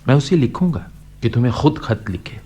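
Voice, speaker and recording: An actor playing a kindly, middle-aged father figure in a Hindi radio play, speaking in a gentle, coaxing, philosophical way. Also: mid-range voice, animated, brisk, close to an everyday voice. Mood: earnest, reassuring.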